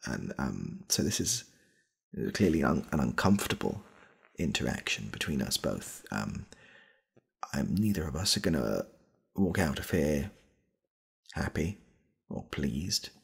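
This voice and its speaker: Man playing an awkward, very deep, husky shop worker. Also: sing-song, measured pace, long pauses, full character voice. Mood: awkward, formal. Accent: British. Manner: hesitant, stammering, repetitive, soft-spoken. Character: awkward, needy male shop worker clumsily propositioning a customer. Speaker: ASMR comedy creator playing a shop worker. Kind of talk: comedy ASMR roleplay.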